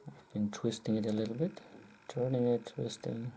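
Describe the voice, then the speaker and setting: Dark, husky, gravelly low-key muttering voice. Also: steady tone, a little hiss or hum. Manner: muttering, halting, frequent throat-clearing. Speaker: video maker demonstrating close-up specimens. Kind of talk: casual running commentary.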